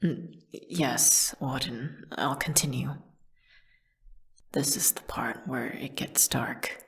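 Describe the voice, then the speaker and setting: Casting: female actor as condemned witch for a fantasy ASMR drama, low register, breathy and measured, solemn, tragic storyteller. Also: exaggerated voice. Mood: subdued, grim. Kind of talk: dramatic first-person ASMR audio storytelling.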